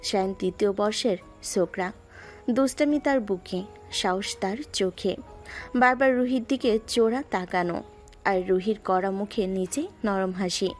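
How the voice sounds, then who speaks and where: Female narrator, lyrical scripted storytelling, mid pitch, light music bed. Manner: measured, lyrical.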